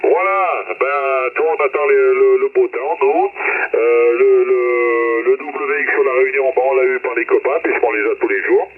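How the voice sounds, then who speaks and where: A man's voice: medium pitch, normal speed. A man chatting in a colloquial, teasing way as an amateur radio operator over a radio link. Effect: radio transmission. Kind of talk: casual radio contact chat. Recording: very noisy, tinny radio link.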